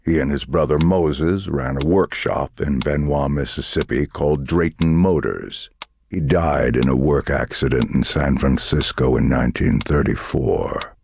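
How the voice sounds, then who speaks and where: An actor playing a menacing, condescending male government interrogator in a dramatic video game, speaking deliberately. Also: a little mellow, a little hiss or hum, heavily acted. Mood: cold, insinuating. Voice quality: deep, gravelly.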